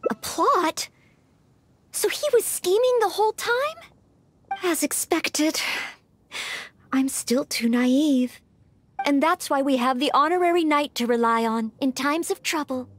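A woman's voice: high voice, lively intonation, measured pace, pauses often. A woman voicing a humble, earnest young maid and aspiring knight in a video game, polite, formal and hesitant. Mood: disappointed. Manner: formal, hesitant, sweetly apologetic. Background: game music.

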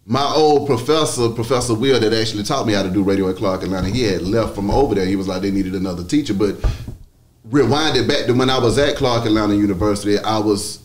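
A man's voice: fairly low pitch, quick pace. A man around his forties with a Southern US accent, telling stories as a radio DJ in a podcast interview, casual and slangy, on a close studio mic. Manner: casual, slangy, frequent swearing. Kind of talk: animated podcast storytelling.

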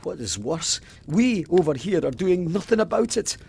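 An actor playing a stern, pious Scottish police sergeant in an audio drama, officious, pompous and indignant. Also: male voice, sits high, sing-song, moderate pace, vocal fry, darkish tone, slight background noise, heavily acted. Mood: righteously indignant. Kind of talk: full-cast audio drama acting.

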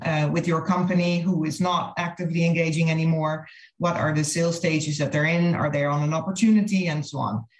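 A woman giving a businesslike, explanatory slide presentation over a video call. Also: sits low. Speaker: marketing consultant presenting a case study. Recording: roomy, echoey video-call audio.

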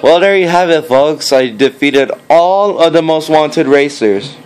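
Slow, rambling teenage boy gamer. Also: a little high, expressive, darkish tone, clean tone, low-quality recording. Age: teenager, fourteen. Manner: hesitant, rambling. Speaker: gaming video maker. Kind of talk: casual gameplay commentary. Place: indoors, filming a game on a screen. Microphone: handheld phone. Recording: phone recording with game music behind. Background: game music.

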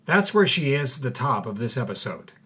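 Male podcast host, husky mid-range voice, dramatic scripted delivery. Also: mid-range voice, big pitch swings, brisk, fairly bright tone. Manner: dramatic, reads documents aloud.

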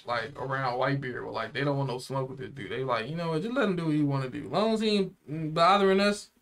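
A man's voice: medium pitch, quick pace, gravelly, fairly bright tone, a little hiss or hum. A young man chatting casually as a reaction show host, using slang and repeating phrases for emphasis. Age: young adult. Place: small room indoors, seated.

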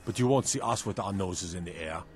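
A man playing a proud, gruff, folksy working townsman in a fantasy video game, with a curt, defiant manner. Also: low voice, a little fast, soft background music, natural voice. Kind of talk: video game character acting.

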